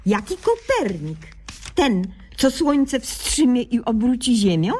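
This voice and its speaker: A woman playing an earnest, naive young doctor in a radio comedy sketch, deadpan in her quaintly mangled officialese. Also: a little high, slow pace, breathy edge, heavily acted. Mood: officiously caring.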